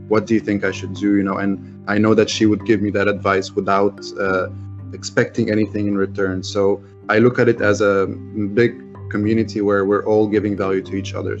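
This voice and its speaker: Earnest Egyptian man, low voice. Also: dull audio. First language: French. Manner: earnest, halting with many restarts. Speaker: interview guest, business owner. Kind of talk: casual interview talk.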